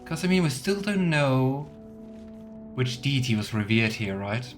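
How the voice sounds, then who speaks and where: A man streams a video game with casual, chatty commentary. His voice sits at an average pitch, with light game music playing behind him. He sometimes reads the game's text out loud for viewers. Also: very animated, a little slow. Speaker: let's play streamer.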